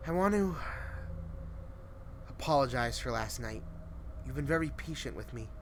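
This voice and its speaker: A man voicing a grieving wife in a horror story, brittle, wounded and quick to anger, with emotional delivery. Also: medium pitch, lively intonation, a little hiss or hum, close to an everyday voice. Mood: subdued, apologetic. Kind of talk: dramatic horror story reading.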